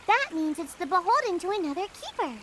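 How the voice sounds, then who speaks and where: Casting: a tiny, chirpy creature sidekick, very high and bouncy, with game score music behind. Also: female voice, sing-song, quick pace, sharp tone, a little hiss or hum, exaggerated voice. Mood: explaining. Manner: slips a stray "the" into phrases. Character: tiny chirpy creature companion, eager and upbeat. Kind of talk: game cutscene voice acting.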